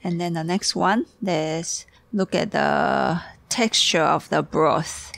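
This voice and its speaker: Tired gravelly-voiced woman. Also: on the low side, a bit bright. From Taiwan. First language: Taiwanese. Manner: halting, searching for words, giggly. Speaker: tea teacher. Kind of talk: guided tea tasting.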